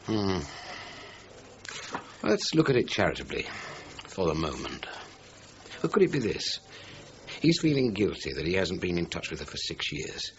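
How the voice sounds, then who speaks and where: An actor playing a sceptical, probing senior police inspector in a radio crime drama, with a dry, questioning manner. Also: male voice, deep voice, huge pitch swings, normal speed, full character voice. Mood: musing. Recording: dull lo-fi audio, faint noise.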